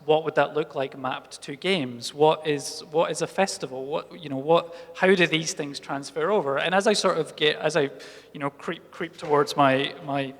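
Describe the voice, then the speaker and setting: A man in his late thirties thinking out loud on a festival panel, rambling through restarts. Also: a little high, normal speed. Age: late 30s. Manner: thoughtful, rambling, many restarts. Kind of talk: casual panel talk. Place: festival talk hall. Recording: long hall reverb.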